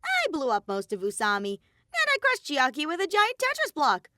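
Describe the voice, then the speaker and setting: A woman's voice: sits high, wildly swooping pitch, moderate pace, sharp tone, dry recording, studio quality, pitched above the performer's own voice, exaggerated voice. A woman voicing a mischievous, callously cheerful bear mascot villain in a theatrical, flippant manner. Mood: gleeful.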